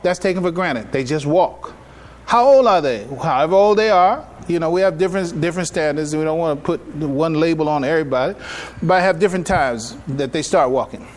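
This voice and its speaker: A man giving a religious public address as an imam, teaching with emphatic rhetorical questions, repetitions and deliberate, halting phrasing. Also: fairly high pitch. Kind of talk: religious lecture, teaching to an audience.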